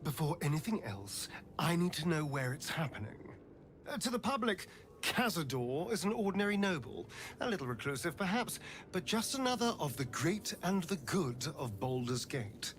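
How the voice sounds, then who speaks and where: An actor playing a sly, brooding vampire spawn rogue in a fantasy video game, with a smooth, sardonic delivery. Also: male voice, fairly high pitch, big pitch swings, moderate pace, fairly bright tone, a little hiss or hum. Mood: scheming. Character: wry pale vampire spawn rogue man, sly and haunted.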